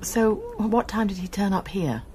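An actress playing a kindly woman college nurse and amateur sleuth who narrates a radio drama and questions people gently. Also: medium pitch, normal speed, a little hiss or hum, close to an everyday voice. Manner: calm, gently probing.

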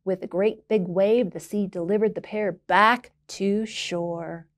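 Slow-paced woman reading a picture book. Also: mid-range voice, fairly even intonation. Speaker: library storytime reader. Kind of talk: expressive children's picture-book read-aloud.

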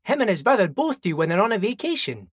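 A man's voice: high-pitched, sing-song, fast, breathy edge, dry recording, clean studio sound, exaggerated voice. An actor playing a friendly, simple-minded old dockworker in a video game, folksy and rambling, repeating himself as he chats. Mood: friendly, chatty. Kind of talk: video game character dialogue.

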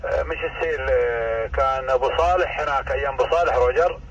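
A man's voice: medium pitch, fast. A man with a Gulf Arabic accent speaking over two-way radio, chatting casually and courteously as an amateur operator. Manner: courteous, welcoming, repeats phrases. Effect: two-way radio transmission. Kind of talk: casual ham radio exchange. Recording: muffled, distant radio speaker, faint noise.

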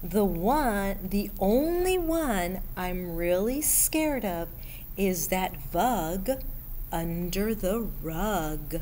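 A middle-aged woman reading a picture book aloud to children in an expressive, deliberate way, pausing as she addresses young listeners. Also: mid-range voice. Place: school library room, indoors. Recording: steady background room noise.

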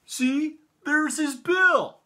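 An American man voicing an excitable, insistent cloud-watcher in a picture book, exclaiming and arguing playfully. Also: very high voice, drawn-out words, voice pushed up, exaggerated voice. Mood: excited, insistent.

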